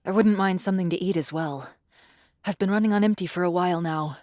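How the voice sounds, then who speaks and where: A woman as a low-voiced, world-weary survivor woman. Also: quick pace, a little mellow, no echo, clean studio sound, natural voice. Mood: weary, bitter. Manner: blunt, wary, world-weary. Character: bitter, guarded, athletic young woman surviving monsters. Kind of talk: audiobook drama acting.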